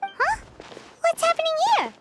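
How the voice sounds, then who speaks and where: A woman voicing a tiny, excitable childlike fairy companion in a video game. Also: very high voice, a little slow, heavy background noise, heavily acted. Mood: puzzled. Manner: speaks of herself in third person.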